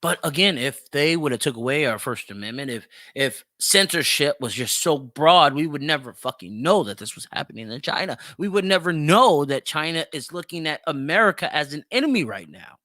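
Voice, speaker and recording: A man's voice: on the high side, animated, fairly quick, hardly any pauses, sharp tone, no echo, studio quality. A man hosting a podcast of opinionated solo commentary, speaking emphatically with plenty of swearing and repeating himself for emphasis. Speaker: podcast host.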